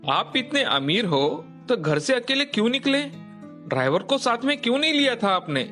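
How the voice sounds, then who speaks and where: A man playing an authoritative hospital doctor in a storybook moral tale, with a brisk, businesslike manner. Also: extremely high pitch, sing-song, sharp tone, soft background music, full character voice. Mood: questioning. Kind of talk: dramatized Hindi moral story.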